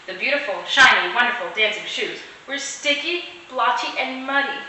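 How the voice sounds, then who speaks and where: Lady doing a kids read aloud, very bright highish voice, animated, roomy echo. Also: moderate pace. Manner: animated, addresses young listeners as friends. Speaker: read-aloud storyteller for children. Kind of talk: expressive children's storybook reading. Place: echoey room at home. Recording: echoey room, distant mic, faint noise.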